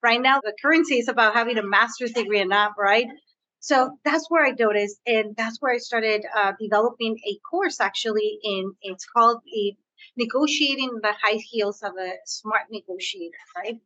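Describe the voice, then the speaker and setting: A woman's voice: a little high, moderate pace, sharp tone, dull audio. A woman with a Spanish accent, raised on the US-Mexico border, answering interview questions about negotiation in an earnest way and often repeating words as she thinks. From US-Mexico border region. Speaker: guest, negotiation coach.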